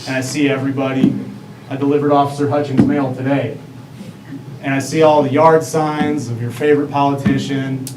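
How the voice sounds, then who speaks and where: A mail carrier speaking firmly and bluntly at a city meeting in short, punchy sentences. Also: male voice, mid-range voice, level tone, brisk. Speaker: public commenter, mail carrier. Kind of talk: public comment at a city meeting. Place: council meeting room. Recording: echoey hall, distant mic, noisy.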